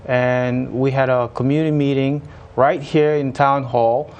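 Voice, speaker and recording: A man from Haiti with a Haitian accent, a municipal engineer chatting as a guest in an interview and often pausing on fillers. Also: mid-range voice, level tone, darkish tone, smooth, dull audio. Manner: hesitant, many uh fillers and restarts. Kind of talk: casual interview chat.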